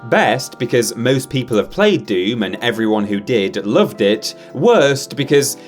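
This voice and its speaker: A man's voice: medium pitch, sing-song, measured pace. A man presenting a scripted ranked countdown in a wry, tongue-in-cheek way. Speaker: presenter of a ranked list video. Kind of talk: scripted narration, list countdown with wry asides. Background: background music.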